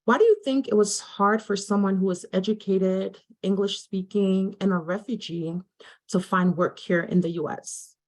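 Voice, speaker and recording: Mid-pitched woman moderating formally. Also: normal speed, a little mellow. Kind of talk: formal panel hosting. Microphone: video call.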